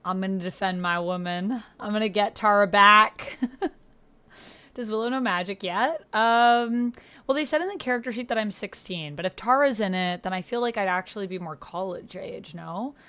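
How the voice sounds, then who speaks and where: A woman's voice: medium pitch, moderate pace, sharp tone, clear, resonant tone, no room sound. A woman livestreaming in a casual, slangy way, reacting expressively and thinking aloud as she goes. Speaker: YouTuber livestreaming a text roleplay game. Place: indoors at a computer.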